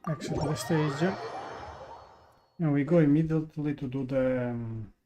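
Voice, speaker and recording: A man's voice: on the high side, a little slow. A man who is not a native English speaker gives casual live gameplay commentary, hesitantly thinking aloud. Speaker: game streamer. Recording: clear game music behind. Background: game music.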